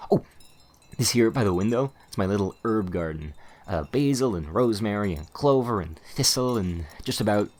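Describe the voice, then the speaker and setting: Man playing a low-voiced, rambling male witch shopkeeper. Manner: rambling, stammering, chatty, eager to explain. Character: talkative, flustered, humble young male witch shopkeeper. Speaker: ASMR roleplay performer. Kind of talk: ASMR character roleplay.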